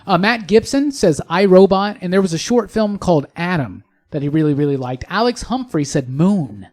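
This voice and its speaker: A man's voice: high-pitched, expressive, moderate pace, a little mellow. A man co-hosting a podcast in casual chat, with a playful, teasing manner. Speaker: podcast co-host.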